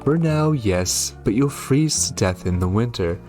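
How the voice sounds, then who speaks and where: A man's voice: mid-range voice, lively intonation, measured pace, a little mellow, faint music behind, close to an everyday voice. A man voicing a protective witch mother in a horror game, firm and instructive with her son. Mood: cautioning.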